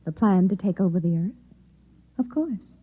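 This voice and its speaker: Husky, secretive Martian wife. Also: female voice, mid-range voice, lively intonation, normal speed. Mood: cool, composed. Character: composed, secretive Martian posing as a devoted wife. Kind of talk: old-time radio drama acting. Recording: phone-like old radio drama recording.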